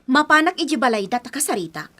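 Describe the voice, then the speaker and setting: A young woman with a very high, animated voice. She is upset and indignant, exclaiming and pleading with a Filipino Ilocano accent in radio drama style. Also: quick pace, full character voice. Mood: hurt, upset.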